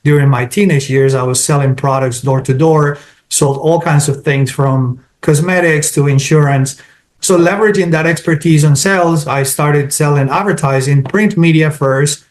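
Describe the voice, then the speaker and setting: A man's voice: fairly high pitch, moderate pace. A man from Colombia with a Colombian Spanish accent, answering interview questions in an orderly way, laying out his points one by one. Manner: structured, lists points in order. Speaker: digital marketing consultant.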